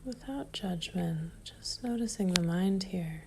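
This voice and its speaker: A woman leading a guided meditation in a soft, breathy near-whisper, calm and gentle, leaving long pauses. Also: lowish voice, background noise.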